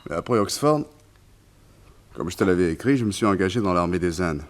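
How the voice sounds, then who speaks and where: A low-pitched man, a wistful circus tamer who once served as an army officer. He tells his story conversationally, with small hesitations, in the manner of French radio drama. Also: lively intonation, fairly quick. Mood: nostalgic. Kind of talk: French radio serial acting.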